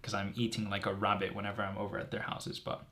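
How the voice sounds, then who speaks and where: A man's voice: lowish voice, little pitch movement, fast, sharp tone, a little hiss or hum. A man in his twenties from Greece chatting casually and earnestly as a student vlogger, repeating words for emphasis. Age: 20s. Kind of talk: casual direct-to-camera chat, answering assumptions.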